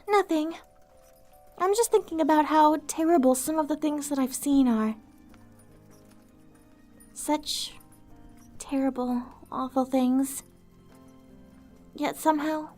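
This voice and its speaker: A woman voicing a gentle, weary female vampire outcast in an ASMR roleplay, soft-spoken, wistful and earnest, with formal wording. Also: high voice. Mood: pensive, melancholy.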